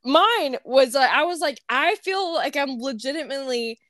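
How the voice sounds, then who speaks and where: Giggly tipsy young woman, high voice. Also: animated, moderate pace, no room sound, studio quality. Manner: giggly, rambling, loud bursts of laughter. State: tipsy from wine. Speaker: podcast co-host. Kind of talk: casual chat.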